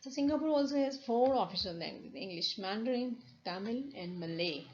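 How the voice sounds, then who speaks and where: A woman's voice: mid-range voice, expressive, fairly quick, darkish tone. A woman with a Pakistani accent, a university lecturer explaining over slides, with many restarts and fillers. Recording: dull lo-fi recording.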